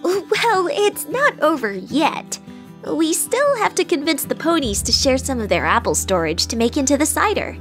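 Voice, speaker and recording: A woman's voice: high voice, very animated, moderate pace, soft background music. A woman voicing a studious, earnest young female pony who explains things precisely.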